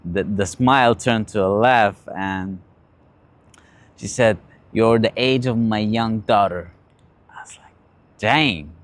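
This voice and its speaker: A man in his late teens with a fairly low voice speaks casually and candidly, as in a personal vlog. His delivery is halting, and he often circles back to repeat a phrase before moving on. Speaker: vlogger sharing personal stories and advice. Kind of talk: casual confessional talk, storytelling.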